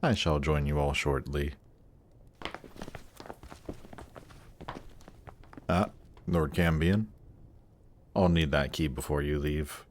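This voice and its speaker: A male actor performs a suave demon prince in a fantasy roleplay drama. His voice is exceptionally deep and he takes his time over every phrase. He sounds polished and regal, with a measured, condescending courtliness. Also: slight background noise. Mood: courtly, commanding. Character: suave, haughty demon prince ruling a circle of Hell.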